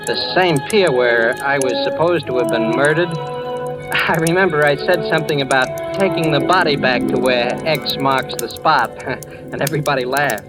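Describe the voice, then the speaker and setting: A nervous young man with hesitant, boyish, slangy speech played by a male actor in a 1940s radio thriller. His voice sits at a middling pitch, with clear music behind it on an old recording. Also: normal speed, lightly acted. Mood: tense narrating. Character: nervous young male impostor posing as a returned son. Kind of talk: 1940s radio acting, first-person narration.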